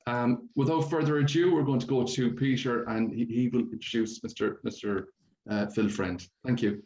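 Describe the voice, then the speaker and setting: A man's voice: mid-range voice, fairly even intonation, moderate pace, a little mellow. Muffled, echoey man moderating online. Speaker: event moderator. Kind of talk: informal housekeeping announcements. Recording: dull, echoey, noisy online call.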